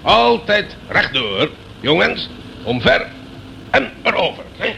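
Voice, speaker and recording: A man's voice: sits high, big pitch swings, slow, bright, forceful tone, full character voice, poor audio. An actor playing a pompous, blustering old retired general in a radio play, repeating himself as he reminisces. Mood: hearty, admiring. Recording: creaky radio drama recording with background hiss.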